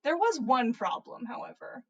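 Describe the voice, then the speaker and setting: A woman with a fairly high voice reads a story aloud as a livestream host. Her delivery is informal narration, with the casual feel of a podcast. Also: very animated, moderate pace, fairly bright tone, slightly rough, dull audio. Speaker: podcast host reading stories.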